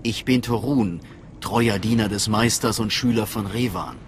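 An actor voicing a calm, sceptical scholarly steward of a cult in a video game, earnest and persuasive. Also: male voice, fairly low pitch, a little slow, noisy background. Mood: welcoming. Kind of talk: dramatic video game dialogue. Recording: faint music behind voice.

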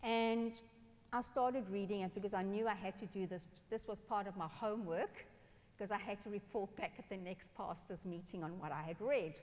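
A woman from South Africa, a pastor telling personal stories in a lively sermon on a church stage. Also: mid-range voice, moderate pace. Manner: animated, expressive, gestures while speaking. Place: large dark church stage. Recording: long church hall reverb.

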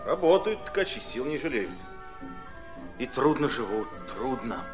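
An earnest political commissar in a radio drama. His mid-pitched voice is expressive and persuasive, measured in its reasoning. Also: over music. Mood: reflective. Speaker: actor in an audio drama. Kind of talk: radio play dialogue and narration.

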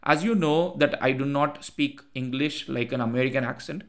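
A man in his thirties with a thick Indian accent, a Tamil speaker, explaining software in a screen-share tutorial over a headset mic. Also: medium pitch, moderate pace. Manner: earnest, repeats phrases for emphasis. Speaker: tech YouTuber.

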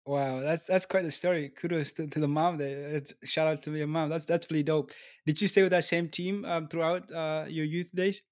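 A man's voice: fairly high pitch, fairly even intonation, normal speed, a little mellow, no echo, studio quality. A young man from Ethiopia hosting a casual interview podcast, laid-back and easygoing with plenty of slang. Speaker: podcast host. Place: small room indoors. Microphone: studio mic on desk.

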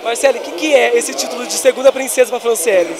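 Upbeat young reporter in a crowd. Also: male voice, very high-pitched, fast talker. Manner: upbeat, raises his voice over the noise. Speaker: event reporter. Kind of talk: on-the-spot interviews. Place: crowded indoor festival hall. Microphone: handheld mic. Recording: handheld mic amid loud crowd.

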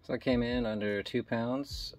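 A man's voice: medium pitch, steady tone, normal speed. A man narrating a reselling vlog in a casual, rambling, matter-of-fact way with plenty of filler words. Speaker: online reseller hosting a shipping vlog. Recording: music behind the voice. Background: background music.

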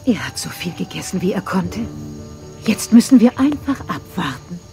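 A woman playing a caring, worried old grandmother dinosaur in a children's audio play, speaking softly and tenderly. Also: lowish voice, big pitch swings, moderate pace, gravelly, faint music behind.

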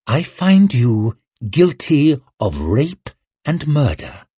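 A man's voice: mid-range voice, big pitch swings, slow pace, breathy edge, exaggerated voice. A man voicing an angry young king in an audiobook, icy, imperious and snapping out commands. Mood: icy judgement. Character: angry young king, cold, imperious, passing stern judgement.

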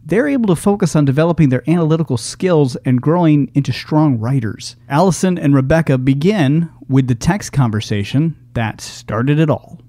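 A man's voice: a little high, lively intonation, normal speed, few pauses, dark tone, dry recording, clean studio sound. A man reading a scripted introduction as a podcast host.

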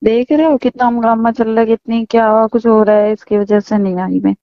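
A woman's voice: fairly high pitch, fairly even intonation, a little fast, dry recording. A woman talking casually in a private phone conversation, heard on a dull lo-fi call recording.

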